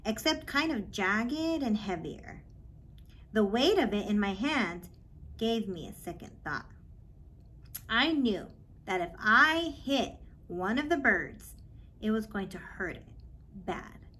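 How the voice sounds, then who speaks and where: A woman in her thirties reading a children's book aloud in a measured, expressive way, with pauses, in a small office. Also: mid-range voice, a little hiss or hum. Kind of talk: read-aloud storytelling.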